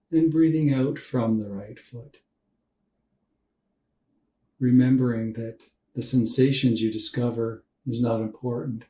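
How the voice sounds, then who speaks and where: A man leads a guided meditation in a soft, dark-toned voice, taking his time and leaving space between phrases. His delivery is gentle and calm, made for drifting off to sleep. The recording is roomy, with him sitting some distance from the microphone. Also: medium pitch, slight background noise. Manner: calm, unhurried, gentle instruction with long pauses. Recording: roomy echo, distant from mic.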